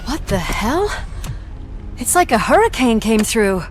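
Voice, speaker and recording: A woman's voice: on the high side, big pitch swings, a little slow, faint music behind. A woman acting a supportive, teasing police partner in a video game, informal and wry. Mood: ready, informal.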